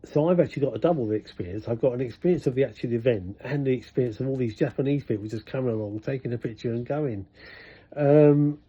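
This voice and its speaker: A man's voice: medium pitch, fast talker, warm tone, breathy edge. An older man, chatting casually and rambling in a vlog, full of fillers and restarts. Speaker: vlogger. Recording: dull lo-fi sound.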